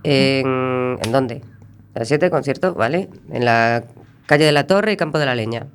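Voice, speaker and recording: A woman's voice: rumbling low, unhurried, clean tone. A woman hosting a radio show, reading event listings in an easygoing, laughing way. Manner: easygoing, laughing as she reads.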